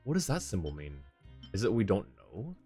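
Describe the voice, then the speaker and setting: Low-voiced male game streamer thinking aloud. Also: huge pitch swings, moderate pace, mellow, slightly rough. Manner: thinks aloud, reading on-screen text and chat. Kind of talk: casual live gaming commentary. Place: indoor live stream setup. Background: faint game music.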